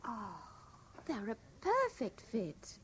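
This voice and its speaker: A woman's voice: on the high side, wildly swooping pitch, slow pace, full character voice. An actress playing a deferential, chirpy female shop assistant in a radio drama, polite and fawning. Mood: eager, fawning. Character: eager, deferential female shoe saleswoman. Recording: noisy, distant 1970s radio broadcast.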